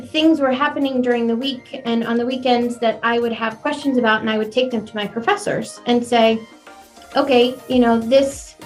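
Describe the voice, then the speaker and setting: A woman in her forties, a florist and business owner, telling her story in a chatty, animated way with a young-sounding voice. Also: a little high. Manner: chatty and animated, laughs easily. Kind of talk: conversational interview.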